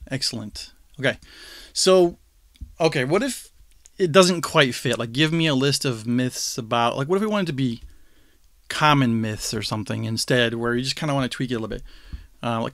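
A man casually walking viewers through a software tool on his screen, thinking aloud with fillers and small chuckles. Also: mid-range voice, no echo. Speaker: screencast tutorial host.

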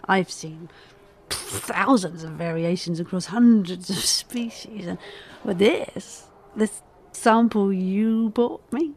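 A woman playing a halting, slow-talking scientist. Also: on the low side. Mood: baffled. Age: 40s. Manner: hesitant, halting, many fillers and restarts. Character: guarded mid-forties woman forensic scientist. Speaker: forensic scientist. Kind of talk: audio drama acting.

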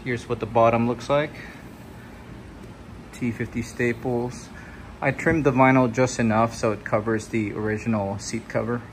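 A man's voice: medium pitch, steady tone, normal speed, slight background noise. A man giving a plain, step-by-step voice-over as he walks through a scooter repair. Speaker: DIY scooter builder. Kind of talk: tutorial voice-over.

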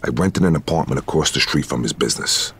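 An actor playing a hard-boiled American mob hitman, cold-blooded, terse and deadpan, with a rough, deep voice. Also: fast, full character voice. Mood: grim, confident.

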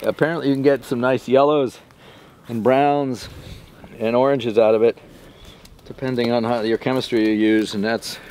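A man's voice: medium pitch, fairly even intonation, normal speed, pauses often, darkish tone, a little hiss or hum. An older man, a mushroom club member, chatting casually on a forest walk in a rambling way, recorded outdoors by a nearby camera mic. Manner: rambling, chatty, acts out calls in a raised voice.

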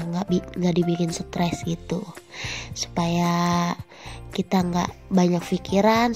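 A young woman with a stuffy, nasal cold voice narrates her home vlog, chatty and speaking straight to her viewers. Her tone is low, gravelly and mellow, with little rise and fall in pitch. Soft music plays under her. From Indonesia. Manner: chatty, friendly, addresses viewers directly. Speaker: vlogger and housewife. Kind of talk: casual voice-over vlog narration.

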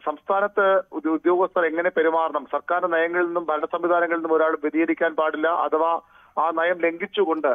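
Male phone-in reporter, flat intonation, thin telephone sound, political news. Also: fairly high pitch, a little fast, phone-quality sound. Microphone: phone call.

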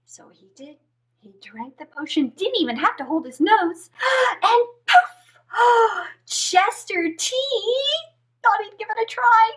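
A woman with a high voice tells stories to young children. She is expressive and theatrical, and her pitch swings widely as she goes. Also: takes it slowly. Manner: exaggerated, expressive delivery. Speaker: children's story time host. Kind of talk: animated storytelling for young children.

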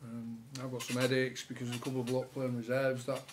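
Coach with a relaxed, halting delivery. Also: male voice, mid-range voice, a little hiss or hum. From England. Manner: relaxed, many fillers and restarts. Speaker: rugby league head coach. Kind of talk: post-match press conference answers. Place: press room with sponsor board behind.

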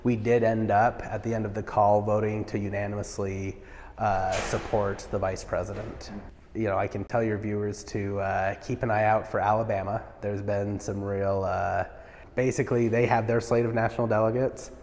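A man's voice: lowish voice, little pitch movement, normal speed, a little mellow, big-room sound, slight background noise. A man in his thirties, a party official, answering interview questions earnestly with many "uh" fillers, on a lapel mic in a marble stairwell. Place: large marble stairwell indoors.

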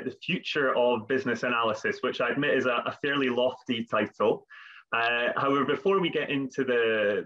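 A man's voice: medium pitch, fairly bright tone. A man in his thirties presenting a business webinar on a video call, often repeating words as he goes. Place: home office, video call. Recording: dull lo-fi video call audio.

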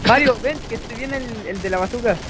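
A man's voice: extremely high pitch, fairly quick, fairly bright tone, a little hiss or hum, rough recording. A Chilean man chatting casually with teammates in an online game, using slang and repeating himself. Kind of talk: casual chat while playing an online game. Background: video game gunfire.

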